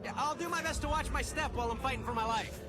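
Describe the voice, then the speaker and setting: An actor playing a wisecracking, flustered young superhero in a video game, sarcastic and a little whiny. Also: male voice, very high voice, fast. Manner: sarcastic, quippy, whiny under pressure. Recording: loud music underneath.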